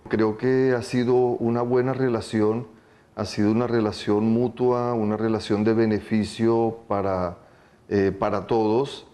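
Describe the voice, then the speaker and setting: A man giving a reflective interview answer in a measured way, pausing between phrases. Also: on the low side, little pitch movement, dark tone.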